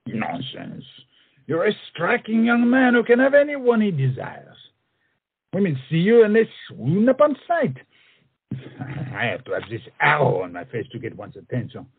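An actor playing a witty French friend and mentor in a stage comedy, speaking in a flowery, coaxing, theatrical way. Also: male voice, on the high side, wildly swooping pitch, normal speed, vocal fry, slightly rough, dry recording, narrow, tinny audio, exaggerated voice. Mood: playful reassuring.

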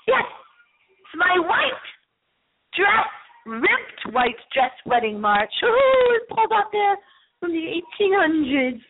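A woman with a fairly high voice hosts a playfully spooky live radio show. She rambles in a theatrical, campy style. The sound is thin and narrow, like a call over a phone line. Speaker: host of a late-night spooky internet radio show.

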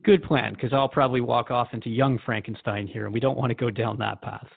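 A man's voice: mid-range voice, fairly even intonation, brisk, vocal fry, dry recording, phone-quality sound. A man playing a curious, mildly skeptical radio interviewer in a scripted drama, thoughtful and conversational. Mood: musing. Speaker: radio host.

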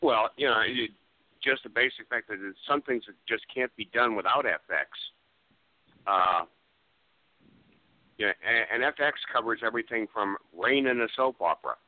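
A man's voice: mid-range voice, moderate pace, dry recording. A man speaking as a special effects artist and guest in a casual interview. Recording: tinny phone line.

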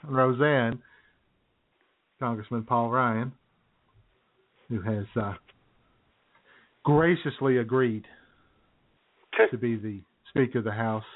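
A man's voice: medium pitch, slow pace, long pauses. A man co-hosting a comedy radio show with wry, casual banter, often repeating his phrases. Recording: tinny, narrow phone-like sound.

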